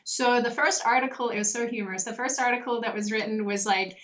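A woman's voice: on the high side, fairly even intonation, fast, a bit bright. A young woman with an Australian accent, a guest telling stories in a casual interview, with many likes and ums. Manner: chatty storyteller, frequent likes and ums. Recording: slightly dull lo-fi audio.

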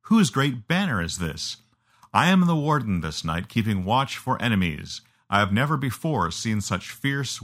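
A man's voice: lowish voice, fairly bright tone. A man playing a proud, wary coast guard in an audiobook, speaking in a formal, challenging and defensive manner. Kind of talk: audiobook character dialogue.

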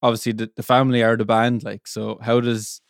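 A man hosting a music podcast, asking halting, friendly questions in a casual chat. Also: medium pitch, flat delivery, no room sound, studio quality. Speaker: podcast host. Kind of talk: scripted intro then casual interview.

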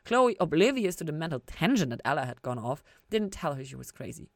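A woman narrates fiction in a fairly low voice. Her scripted reading is expressive, with dramatic emphasis on key phrases. The sound is dry, with no room around it. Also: brisk, dry recording. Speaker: podcast host reading fan fiction.

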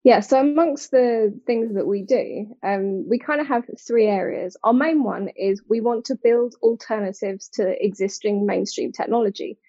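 Interview guest: British woman, mellow tone, articulate and explanatory, conversational delivery, slightly dull dry recording. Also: a little high, moderate pace, few pauses, no room sound. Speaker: interview guest, technology designer and advocate.

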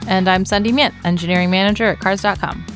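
A woman's voice: mid-range voice, fairly even intonation, quick pace, soft background music. A woman co-hosting a podcast in a casual, conversational style. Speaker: podcast co-host, engineering manager.

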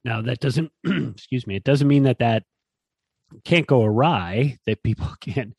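A man's voice: mid-range voice, measured pace, vocal fry, darkish tone, breathy edge, no room sound. A man co-hosting a casual conversation about teaching, thoughtful, often repeating words as he works out his point, with a dry sense of humor. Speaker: podcast co-host.

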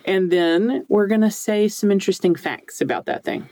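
A woman with a deep, low-range voice co-hosting a podcast in a casual, chatty, jokey way. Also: normal speed, mellow, no room sound. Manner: informal, jokey, mildly sweary. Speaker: podcast co-host.

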